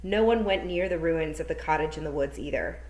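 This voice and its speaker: A woman's voice: deep voice, fairly quick, a little mellow. A woman teacher reading a novel aloud to children in a storytelling manner. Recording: roomy echo.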